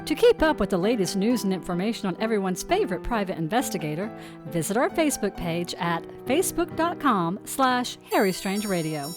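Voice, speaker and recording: Lively woman announcer over music. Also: medium pitch, a little fast. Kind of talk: scripted closing credits read. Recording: music under the voice.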